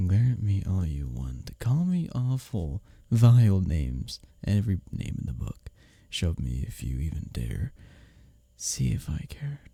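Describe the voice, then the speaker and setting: A man playing a smug, taunting office rival in an intimate roleplay audio drama, sardonic and teasing, with a husky low voice. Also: very animated, unhurried, dark tone, no room sound, heavily acted. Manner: sardonic, teasing, needling, with breathy chuckles.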